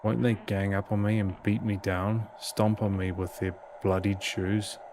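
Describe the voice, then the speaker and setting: A man's voice: lowish voice, little pitch movement, a little slow, background noise. A man reading visual novel narration aloud as a let's play host, occasionally stumbling and repeating phrases. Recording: game music behind the voice. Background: horror game music.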